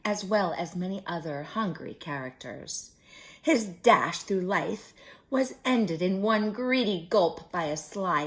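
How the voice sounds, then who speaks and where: A woman reading a children's story aloud in an expressive, drawn-out way, with chatty asides to young listeners. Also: on the low side.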